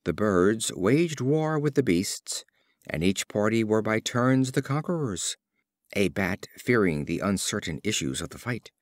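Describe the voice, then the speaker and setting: A man reading scripted fables as an audiobook narrator, with an expressive storyteller's delivery. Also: mid-range voice, dry recording, clean studio sound. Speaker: audiobook reader.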